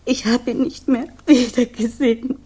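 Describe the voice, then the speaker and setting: An actress playing a grief-stricken, loyal young fiancée, soft, breathy and subdued, in a 1960s German radio crime drama. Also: female voice, a little high, level tone, normal speed. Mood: troubled, subdued. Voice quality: soft, breathy, often near whisper. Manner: hesitant, subdued.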